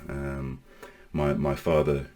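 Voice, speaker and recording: A man in his forties from Northern Ireland with a mostly English accent, telling his life story to camera in a halting way, with many pauses and restarts. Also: extremely low pitch, little pitch movement, dark tone. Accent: English with Northern Irish traces. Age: 40s. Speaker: online safety vlogger.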